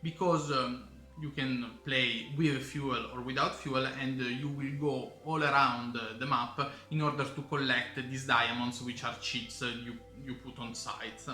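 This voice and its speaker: A man with an Italian accent explaining board game rules in English as a channel host, halting with many fillers and restarts. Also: mid-range voice, steady tone, pushed and bright. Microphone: camera microphone. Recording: roomy camera-mic audio with faint music.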